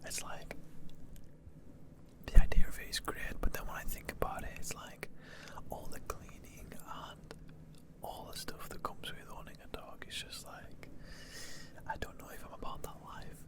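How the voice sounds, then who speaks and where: A man from the Lake District, whispering through a casual ASMR question-and-answer session with plenty of ums and likes. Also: moderate pace. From Lake District, northwest England. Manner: soft unvoiced whisper, rambling with fillers.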